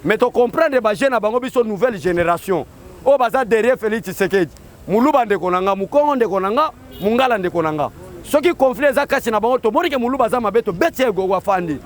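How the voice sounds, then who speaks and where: A man with a Congolese accent giving a rousing street speech to supporters, shouting out calls for the crowd to answer. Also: sits high, lively intonation, fast. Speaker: political activist addressing supporters. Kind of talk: rally speech with call-and-response.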